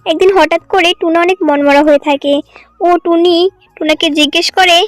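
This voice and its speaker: Very high-pitched woman narrating a story. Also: steady tone, fairly quick, no echo. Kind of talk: scripted children's cartoon story narration.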